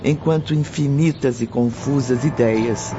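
A man's voice: mid-range voice, measured pace. Solemn male narrator over music. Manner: solemn, literary. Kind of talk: dramatic scripted radio drama narration. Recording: slightly muffled, music bed.

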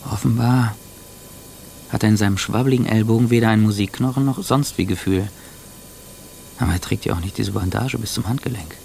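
A man's voice: on the low side, gravelly, darkish tone. A man playing a bitter, anxious passenger, delivering a sardonic, contemptuous interior monologue in a radio play. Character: bitter, anxious man seething silently on a plane. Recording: faint background ambience noise.

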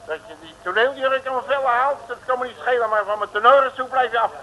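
An actor playing a brash, jovial Dutch detective with colloquial, wisecracking bluster in an old radio comedy serial. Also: male voice, very high voice, fast talker, pushed and bright. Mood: indignant, blustering. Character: brash, jovial, scrappy male detective, street-smart joker. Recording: noisy old radio recording.

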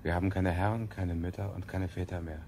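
An actor playing a grave survivor of a catastrophe, recounting it solemnly and with a husky voice in a 1970s German radio play. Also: male voice, deep voice, fairly even intonation, brisk, darkish tone, a little hiss or hum. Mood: resolute.

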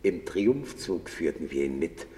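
Extremely deep-voiced dead Roman general. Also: male voice, sing-song, measured pace, mellow. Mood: grave. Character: dead male Roman general, grave former conqueror. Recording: long hollow reverb.

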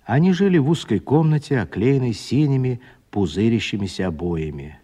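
A man narrating a literary radio drama as a measured, expressive storyteller. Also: mid-range voice, husky.

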